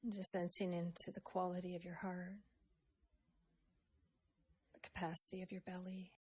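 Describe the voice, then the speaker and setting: A woman leads a guided meditation in a gentle, unhurried manner, leaving long pauses between phrases. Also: fairly low pitch, flat delivery, breathy edge. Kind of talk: slow guided meditation, with a poem recited.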